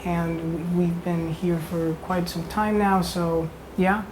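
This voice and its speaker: Flat-voiced young man from Italy. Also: high voice, takes it slowly, noisy background. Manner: hesitant, searching for words with many fillers. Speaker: panelist. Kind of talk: casual round-table discussion.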